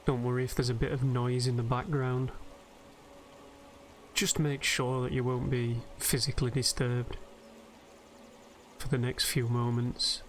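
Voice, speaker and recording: Slow, even-toned man guiding sleep meditation. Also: mid-range voice. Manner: soothing, unhurried, gentle. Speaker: host and narrator of a game meditation video. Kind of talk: guided sleep meditation commentary over gameplay. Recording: steady background noise, game nature ambience. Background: game ambience of river and birdsong.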